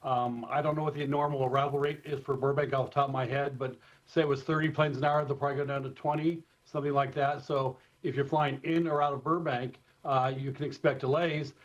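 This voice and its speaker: A man with an American accent explaining things informally and in measured fashion as an expert guest in a live interview, over a remote feed. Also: medium pitch, flat delivery. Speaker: expert guest, former airport communications director. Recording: dull, slightly lo-fi remote feed.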